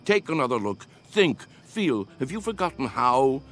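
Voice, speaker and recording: A man's voice: mid-range voice, big pitch swings, moderate pace, fairly bright tone, a little hiss or hum. An actor playing a spirited, pleading old man in a radio drama, earnest, coaxing and dreamy in his delivery. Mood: urgent pleading.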